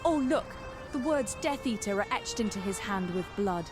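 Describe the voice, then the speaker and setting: A woman playing a bright, indignant teenage witch in an audio drama. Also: mid-range voice, animated, normal speed, music in the background, natural voice.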